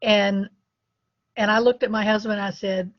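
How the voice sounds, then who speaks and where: A woman's voice: mid-range voice, steady tone, moderate pace, no room sound, highs cut off. A middle-aged woman, a dog breeder, telling anecdotes casually in an interview. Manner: chatty, anecdotal, laughs between stories.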